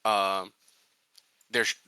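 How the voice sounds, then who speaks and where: Very bright, low-pitched man, casual player. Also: level tone, very slow, smooth, no room sound. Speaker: tabletop game player. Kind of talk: casual in-game discussion.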